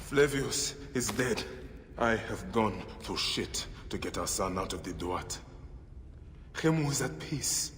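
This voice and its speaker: A man's voice: lowish voice, lively intonation, takes it slowly, slight background noise, heavily acted. An actor playing a stern Egyptian warrior father, grave and intense, in a video game cutscene. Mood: grieving. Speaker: game character. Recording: game audio under loud music.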